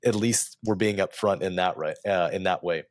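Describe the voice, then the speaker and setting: A man hosting a podcast, asking questions in a relaxed, playful way. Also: low voice, moderate pace, dry recording, studio quality. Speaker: podcast host. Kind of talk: casual interview chat.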